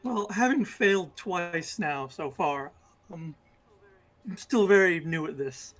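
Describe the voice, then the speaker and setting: A young adult man with a squeaky voice, taking part in a tabletop roleplaying game in a hesitant way. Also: lively intonation, takes it slowly. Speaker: player.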